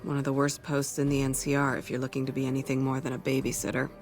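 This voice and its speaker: Guy voicing a tired outpost sniper in a game, creaky gravel voice, blunt and sardonic, music behind. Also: medium pitch, completely flat delivery, quick pace, lightly acted. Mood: jaded, sardonic. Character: weary, blunt, watchful ranger sniper on outpost duty. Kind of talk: video game dialogue acting.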